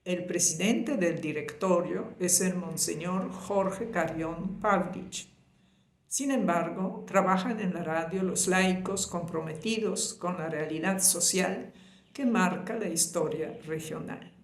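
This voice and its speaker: A woman's voice: on the low side, slow pace, slight background noise. A woman geographer giving a formal, courteous scripted introduction to a lecture. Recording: echoey room sound.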